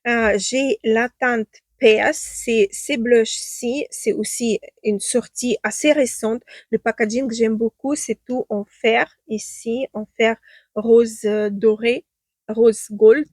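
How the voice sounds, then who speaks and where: A woman's voice: on the high side, steady tone, slow pace, a little mellow, no echo. A woman who is not a native speaker, reviewing makeup in a casual vlog, hesitating often with fillers and restarts and praising products with eager superlatives. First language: not French. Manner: enthusiastic superlatives. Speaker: beauty vlogger. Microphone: own microphone, at times off.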